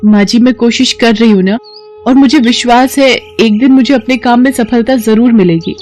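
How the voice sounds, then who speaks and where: A woman's voice: on the high side, moderate pace, a little mellow, voice pushed up, lightly acted. A woman voicing an earnest, hopeful young wife and mother in a cartoon story, with a pleading manner. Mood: pleading, determined.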